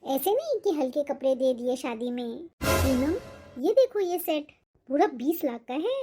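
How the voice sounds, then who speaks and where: Woman as a snobbish, high-voiced boastful aunt. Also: big pitch swings, measured pace, music in the background. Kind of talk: Hindi animated moral story dialogue.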